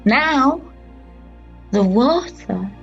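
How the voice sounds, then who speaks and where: A woman playing a grieving but resolute female disciple who recalls her rejection in a halting dramatic monologue with long pauses. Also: high-pitched, animated, creaky, highs cut off, faint music behind, exaggerated voice. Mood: pained, wondering.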